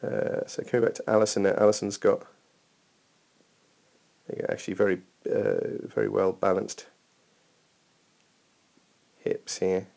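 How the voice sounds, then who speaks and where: A man's voice: deep voice, big pitch swings, measured pace, creaky, pauses often, a little mellow. A man giving informal running technique feedback over a training video as a coach, thinking aloud in a hesitant way.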